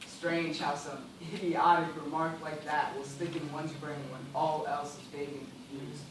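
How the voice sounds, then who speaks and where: An anguished gentleman adrift on a raft acted by a man declaims theatrically in a stage play. His voice sits a little high and rings through a very echoey space, with strong noise over the recording. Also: flat delivery, normal speed, lightly acted. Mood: brooding. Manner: declamatory, melodramatic. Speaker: stage actor. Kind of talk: stage play dramatic monologue. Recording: very echoey stage, distant mic, loud noise.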